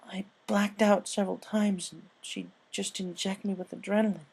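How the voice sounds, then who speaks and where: A man voicing a traumatized young female pegasus pony in a fan story, halting, tearful and broken. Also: sits high, flat delivery, vocal fry, darkish tone, husky, voice pushed up, exaggerated voice. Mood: shaken, haunted. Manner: halting, sobbing, stammering delivery.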